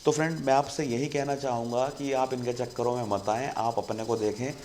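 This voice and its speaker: Male channel host, casual explainer, mid-pitched, conversational, light background noise. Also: steady tone, fairly quick, a little mellow. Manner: conversational, keeps saying "friend" to viewers.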